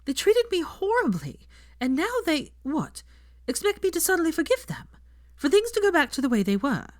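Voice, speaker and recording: A woman voicing an indignant, stubborn young woman in an audiobook, emphatic and halting when flustered. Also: high voice, huge pitch swings, voice pushed up. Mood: frustrated, hurt. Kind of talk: audiobook character dialogue.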